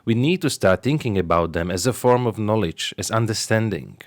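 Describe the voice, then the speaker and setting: A man with a Slovak accent, a native Slovak speaker, presenting a scripted explanatory monologue as a sociologist and marketer, in a measured, deliberate way. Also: on the low side, lively intonation, dry recording, clean studio sound.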